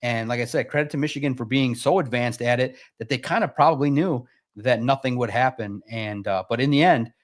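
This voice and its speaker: A man's voice: mid-range voice, brisk, few pauses. A man arguing his case as a guest on a sports talk show, needling and emphatic. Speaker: guest pundit. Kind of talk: casual sports debate.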